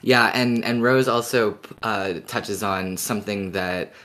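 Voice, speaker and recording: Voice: mid-range voice, unhurried, fairly bright tone. A podcast co-host talking casually as a fan about an audio drama, thoughtfully rephrasing points along the way.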